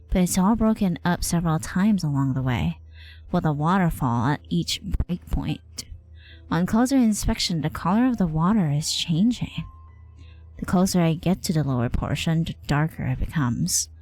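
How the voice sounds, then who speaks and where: Low-pitched woman livestreaming a game. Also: poor audio. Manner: informal, slangy. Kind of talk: casual game commentary, reading dialogue aloud. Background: soft game music.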